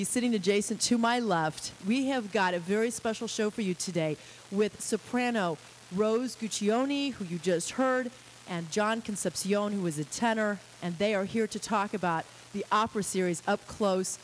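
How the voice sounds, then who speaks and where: A woman's voice: medium pitch, normal speed, low-quality recording. A woman hosting a live radio interview show, bubbly and effusive with her guests. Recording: faint tape hiss.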